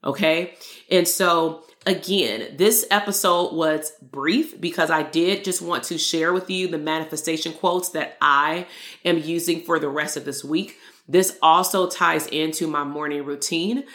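A woman's voice: sits low, unhurried, reverberant. A woman hosting a solo motivational podcast as a therapist coach, talking earnestly and repeating key lines for emphasis. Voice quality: loud voice.

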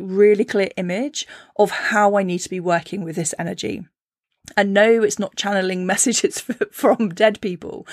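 A woman's voice: medium pitch, dry recording, clean studio sound. A woman hosting a podcast, telling a personal story in a chatty way, laughing often and acting out her own thoughts. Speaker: podcast host.

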